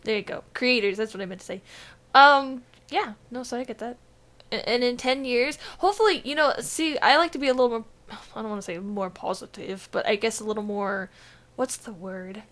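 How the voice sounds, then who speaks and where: A woman's voice: fairly high pitch, brisk, a bit bright. A young woman co-hosting a gaming podcast, laughing often, swearing casually and trailing off mid-thought. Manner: giggly, swears freely, trails off hunting for words. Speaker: podcast co-host.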